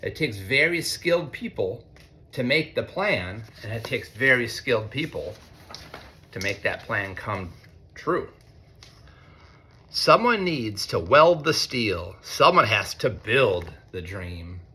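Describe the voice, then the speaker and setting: An American man, a teacher, reads a picture book aloud to children in an expressive way, with conversational asides. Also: on the low side, slight background noise. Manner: expressive, deliberate when reading, conversational in asides. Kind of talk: read-aloud storytelling with chatty asides to children.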